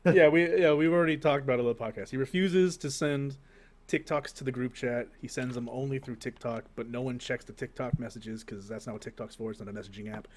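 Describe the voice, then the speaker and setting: A man's voice: mid-range voice, fast talker, slight background noise. A man co-hosting a podcast in casual group chat, speaking informally with some swearing. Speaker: podcast co-host.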